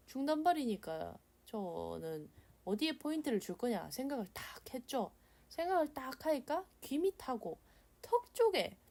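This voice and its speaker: A woman's voice: mid-range voice, warm tone. A woman with a regional South Korean dialect, giving a casual drawing tutorial voice-over in a blunt, chatty, colloquial way. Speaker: art tutorial host.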